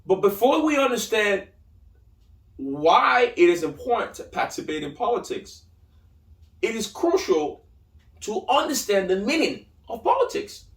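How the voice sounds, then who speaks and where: A man's voice: high-pitched, sing-song, measured pace. A man in his thirties with a Nigerian accent hosting a solo opinion podcast, emphatic and repeating key phrases. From Lagos, Nigeria. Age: 30s. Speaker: podcast host. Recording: echoey room, distant from mic.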